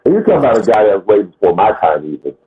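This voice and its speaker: A man's voice: lowish voice, sing-song, racing, warm tone, breathy edge. A man in his late forties chatting casually as host, laid-back and swearing now and then. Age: 40s. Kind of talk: casual chat. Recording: tinny phone-like line.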